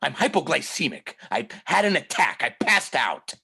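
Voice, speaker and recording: A man playing a gloating, aggrieved masked crime boss with a husky voice and drawn-out theatrical delivery in a comedy play reading. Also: fairly high pitch, very animated, bright, forceful tone, full character voice. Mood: indignant rant. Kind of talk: table read of a comedy play. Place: remote video call.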